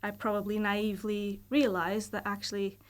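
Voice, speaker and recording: A woman's voice: mid-range voice, completely flat delivery. Thoughtful Portuguese-accented woman in her thirties. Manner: thoughtful, measured, hedges often with fillers. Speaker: guest, university lecturer. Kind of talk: casual podcast interview. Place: podcast studio.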